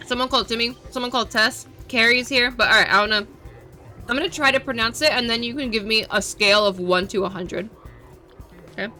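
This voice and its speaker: Bright, playful woman streamer. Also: a little high, a little fast, soft background music. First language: English. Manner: playful, chatty, self-deprecating. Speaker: variety streamer. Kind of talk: casual live-stream chat.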